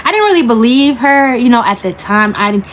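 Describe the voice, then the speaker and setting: A woman's voice: a little high, animated, slight background noise. A young woman from Florida telling her own story in a casual storytime vlog, hesitant, with many fillers and restarts. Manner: halting, many fillers and restarts, keeps asking "you feel what I'm saying". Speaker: vlogger.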